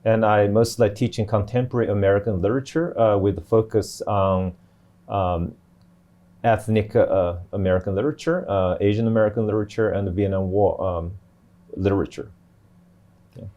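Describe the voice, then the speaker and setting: Low-pitched, mellow middle-aged man, halting delivery. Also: fairly even intonation. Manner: halting, hesitant delivery with repeated restarts. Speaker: panelist, English professor. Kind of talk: panel discussion, explanatory. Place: TV studio.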